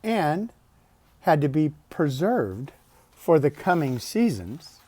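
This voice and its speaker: A man reads a picture book aloud to children in a mellow voice. His pitch rises and falls expressively, and he stretches words, pauses and slips in casual, chatty asides. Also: big pitch swings, slightly rough, dry recording. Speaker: storybook reader.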